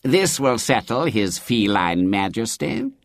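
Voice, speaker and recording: A man's voice: lowish voice, big pitch swings, takes it slowly, no echo, clean studio sound, heavily acted. A man voicing an obsequious, apologetic country vicar in a storybook reading. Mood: scornful. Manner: fawning, overly apologetic. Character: obsequious fussy male country vicar, middle-aged.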